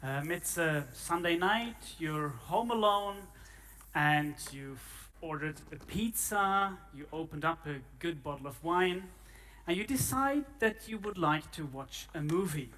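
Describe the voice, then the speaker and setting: A man from Finland, giving a live talk to an audience in a conversational way with thinking pauses. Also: on the high side, expressive, bright, forceful tone. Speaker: presenter.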